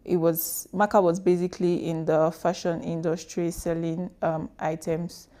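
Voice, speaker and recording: A woman's voice: lowish voice, level tone, moderate pace, warm tone. A young woman from Nigeria, chatting as a podcast guest, laughing easily and restarting her words. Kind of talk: casual interview answers.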